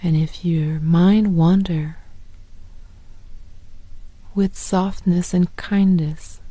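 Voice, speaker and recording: A woman guiding a sleep meditation in a soft, partly whispered voice. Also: fairly low pitch, steady tone, drawn-out words, no echo. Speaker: meditation guide.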